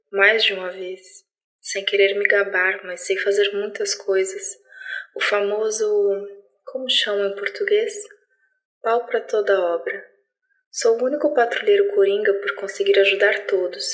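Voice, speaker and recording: A woman playing an even-toned, lonely alien lizard patroller. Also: mid-range voice, natural voice, poor audio. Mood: proud, aggrieved. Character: lonely alien lizard patroller, gifted, wistful, keeping a log. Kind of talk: scripted audio drama diary monologue.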